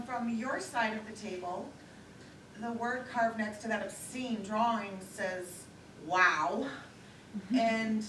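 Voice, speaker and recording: High-voiced nervous chatterbox woman, acted on stage. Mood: flustered, musing. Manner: rambling, self-conscious, giggly, theatrical. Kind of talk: live one-act stage play monologue. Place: lakeside theater stage, recorded from afar. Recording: distant, echoey, noisy live theater recording.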